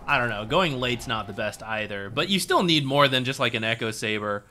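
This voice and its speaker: A young man from the United States chats casually while reviewing game replays and reading chat. His voice sounds bright and forward. He talks in a laid-back, hesitant way, often pausing to think aloud, with a faint hum in the background. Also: mid-range voice, expressive.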